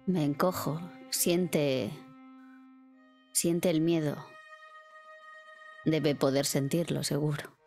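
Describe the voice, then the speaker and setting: A woman with a low voice improvises first-person narration as a player in a tabletop horror role-playing game. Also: steady tone, slow, pauses often, a little mellow, soft background music.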